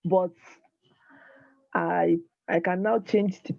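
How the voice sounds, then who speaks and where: A woman student on an online class politely asking her tutor questions, hesitant and clearing her throat. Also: fairly low pitch, fairly even intonation, slow, no echo. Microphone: video call. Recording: dull, dry video call audio.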